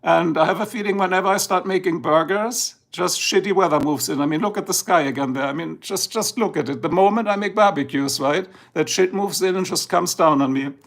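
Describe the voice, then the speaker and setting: A man with a German accent chats loosely through a livestream, drifting between topics and apologizing for slow speech. His voice sits a little high for a man and carries a gravelly, creaky edge. It sounds like a home setup aimed at a tablet. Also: fairly bright tone. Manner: rambling, chatty, slow and drowsy at times. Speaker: livestream host. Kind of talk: casual live-stream chat. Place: indoors, filming a tablet slideshow.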